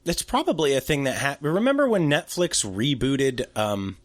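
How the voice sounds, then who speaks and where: A man in his thirties co-hosting a casual podcast chat, excitable and animated, with gasps and quick asides. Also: medium pitch, no room sound. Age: 30s. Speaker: podcast co-host.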